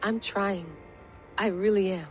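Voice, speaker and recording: A woman's voice: medium pitch, steady tone, unhurried, natural voice. Actress as troubled woman operative over music. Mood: defensive, troubled. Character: troubled, guarded modern-day woman field operative. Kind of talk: naturalistic video game acting. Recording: music playing behind the voice.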